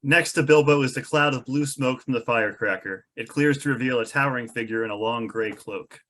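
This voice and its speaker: Male narrator, mid-range voice, measured storyteller reading scene descriptions from a script. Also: mid-range voice, a bit bright. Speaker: narrator reading stage directions. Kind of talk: scripted narration at a table read.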